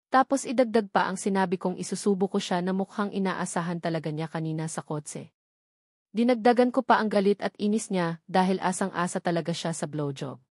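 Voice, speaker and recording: A woman's voice: on the low side, darkish tone, no room sound, studio quality. A woman with a Filipino accent narrating a romance novel in the first person. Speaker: audiobook narrator.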